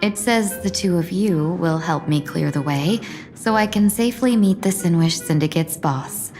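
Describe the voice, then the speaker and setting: A woman voicing a gracious, tactful female envoy in a video game, polite, formal and measured. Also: fairly low pitch, a little mellow, close to an everyday voice. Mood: calm, tactful. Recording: music under the voice.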